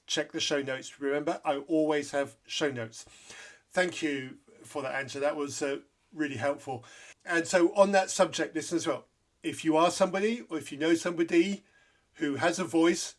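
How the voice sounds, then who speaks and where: A man hosting an interview podcast, who grew up in Zambia, speaking candidly and repeating points for emphasis. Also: fairly high pitch. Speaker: podcast host.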